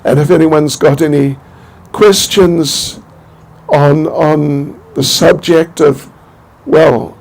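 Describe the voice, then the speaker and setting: An older man from New Zealand giving an informal spiritual talk, halting and rambling, with many restarts and small chuckles. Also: on the high side, fairly even intonation, creaky, darkish tone. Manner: chuckles to himself. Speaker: spiritual teacher.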